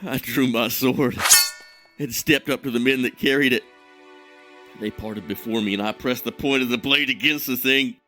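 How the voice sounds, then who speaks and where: An actor playing an ashamed, grieving man who tells his tale with self-loathing and dramatic pauses. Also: medium pitch, bright, forceful tone, faint music behind, natural voice. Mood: grim recounting. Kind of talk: dramatic fantasy monologue in audio drama.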